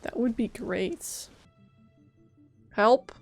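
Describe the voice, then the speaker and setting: A woman's voice: on the high side, drawn-out words, creaky, a little mellow, soft background music. A woman game streamer giving casual live reactions, emotive and sympathetic.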